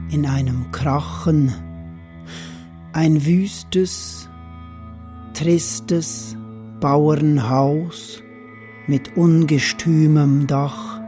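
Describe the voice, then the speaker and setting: Slow, eerie monotone storyteller. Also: medium pitch. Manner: intense, uncanny, deliberate delivery. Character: brooding narrator of an eerie rural tale. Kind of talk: literary radio drama narration.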